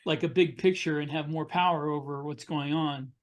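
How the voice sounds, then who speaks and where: A man's voice: fairly high pitch, steady tone, moderate pace. A man co-hosting a podcast, talking casually in a panel discussion. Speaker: podcast co-host.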